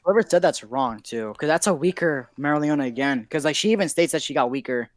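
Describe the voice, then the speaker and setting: A man's voice: a little high, lively intonation, quick pace, dry recording. A man co-hosting a casual online podcast, insistent, arguing his point by citing chapters and panels. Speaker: podcast co-host.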